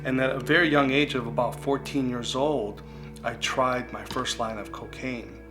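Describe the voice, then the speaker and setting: A man's voice: medium pitch, fairly even intonation, normal speed. Earnest man telling his story. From United States. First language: Greek. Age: 40s. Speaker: speaker sharing his recovery testimony. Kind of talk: personal testimony. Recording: guitar music playing underneath.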